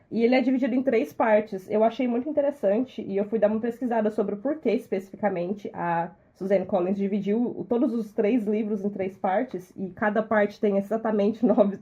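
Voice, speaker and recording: Mellow, husky Brazilian woman host. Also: mid-range voice, dull audio. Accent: Brazilian Portuguese. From Minas Gerais, Brazil. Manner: thoughtful, repeats small words while explaining. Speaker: podcast host. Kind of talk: casual podcast chat.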